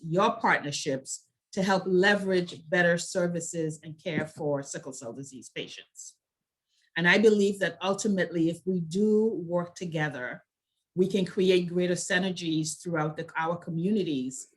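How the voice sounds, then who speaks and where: A woman speaking as a health advocate in a prepared talk, with deliberate, emphatic and measured phrasing. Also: on the low side, poor audio. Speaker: health advocacy organization leader and panel speaker.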